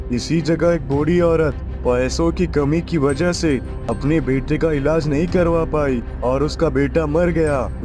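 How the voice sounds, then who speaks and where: A man voicing a stern, scornful, all-knowing old sage in a story cartoon, declamatory and accusing. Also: a little high. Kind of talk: acted dialogue in a moral story cartoon.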